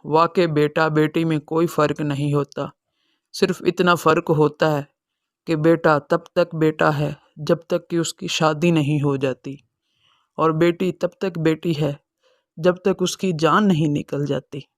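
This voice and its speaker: Man playing a sorrowful elderly father, higher-pitched voice. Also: fairly even intonation, a little slow, no echo, studio quality. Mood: ashamed. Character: sorrowful, self-sacrificing elderly father, gentle with his children. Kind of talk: dramatized moral story for cartoon.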